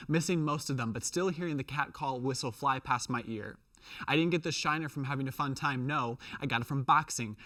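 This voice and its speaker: A man recites slam poetry with emphatic, measured rhythm and deliberate pauses. His voice sits at a middle pitch. It is picked up a little way from the microphone, in a dry space with very little echo. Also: fairly bright tone, distant mic. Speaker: slam poet.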